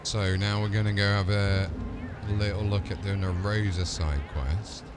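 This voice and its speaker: Low-voiced man streaming a game. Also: steady tone, a little slow, heavy background noise. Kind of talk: casual gameplay commentary.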